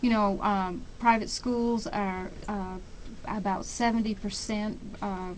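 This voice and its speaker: A middle-aged woman with a mid-range voice gives a radio interview as a school representative. She explains in a conversational, slightly halting way, with faint background noise around her. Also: mid-range voice, fairly even intonation, low-quality recording.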